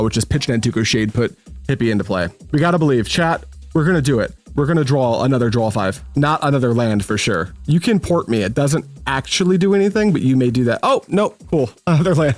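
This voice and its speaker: A young man chats over his card-game matches from a home setup, close to the mic, his mid-range voice casual and self-mocking. It springs into high excited yelps when plays go wrong. Soft music runs faintly behind him. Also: brisk. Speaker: gaming channel host. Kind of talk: casual gameplay commentary.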